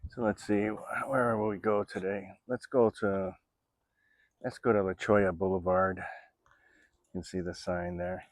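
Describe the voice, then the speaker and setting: Chatty man in his sixties, low voice. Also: steady tone, unhurried, no room sound. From Florida, USA. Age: 60s. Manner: rambling, chatty, with many fillers. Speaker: vlogger. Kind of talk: casual vlog narration while riding an e-bike. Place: outdoors on a bike trail.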